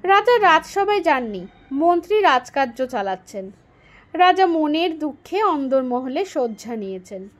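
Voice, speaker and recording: A woman narrating a story aloud in an expressive, dramatic and deliberate storytelling style. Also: high-pitched.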